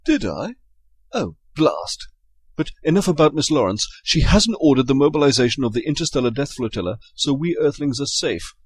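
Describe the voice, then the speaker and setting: A man as a droll British busybody, very animated. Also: mid-range voice, normal speed, slightly rough, dry recording. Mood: droll, curious. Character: droll, nosy British male colleague, dryly absurd. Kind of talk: comedic audio drama acting.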